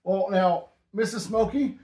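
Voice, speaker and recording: A man with a fairly high voice hosts a casual show about stamps. He delivers facts and jokes in a dry, deadpan way. The room around him echoes noticeably. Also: unhurried. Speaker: host. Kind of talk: casual stamp-collecting chat.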